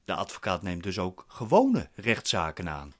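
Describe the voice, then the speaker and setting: A man's voice: deep voice, wildly swooping pitch, moderate pace, a bit bright, exaggerated voice. An actor playing a suspicious, impatient man with a curt, questioning manner in a radio drama. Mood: inquisitive. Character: suspicious, impatient, commanding male defendant.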